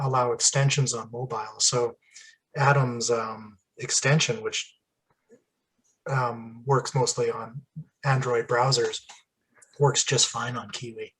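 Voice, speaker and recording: A man hosts a relaxed online interview, drifting into chatty asides as he talks. His voice is husky, with some grit in its tone. His pitch sits in the middle range for a man. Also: rough recording. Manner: chatty, rambling, with asides and throat clearing. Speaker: podcast host. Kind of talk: casual interview chat.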